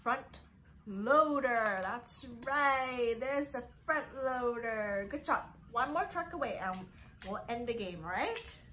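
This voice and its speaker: A woman's voice: on the high side, expressive, slow pace, bright, forceful tone, slight background noise. A woman hosting a guessing game for young children, talking in a sing-song, encouraging way as a storytime teacher.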